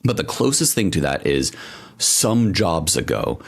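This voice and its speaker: Deep, lively-voiced man telling stories. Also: measured pace, creaky. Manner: rambling, animated storytelling with frequent asides. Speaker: podcast co-host. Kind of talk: casual podcast chat.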